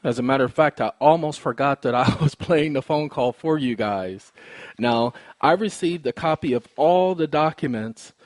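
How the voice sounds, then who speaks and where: Man, mid pitch, formal, insistent, polite, precise wording, complaint call, dry sound. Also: normal speed, no room sound. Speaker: caller, presenter of own recorded phone call. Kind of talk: formal phone complaint and explanation to listeners.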